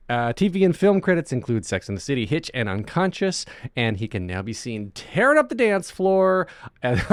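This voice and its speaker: Lively, chatty man host. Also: on the high side, no echo, studio quality. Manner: upbeat, chatty, uses fillers. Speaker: podcast host. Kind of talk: casual interview chat.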